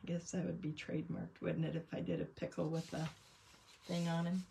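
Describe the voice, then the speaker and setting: A woman's voice: low-pitched, level tone, fairly quick, creaky, dark tone, slight background noise. A woman chatting casually as she hosts a craft livestream, rambling through a show-and-tell of her painting work. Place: indoors at a craft table.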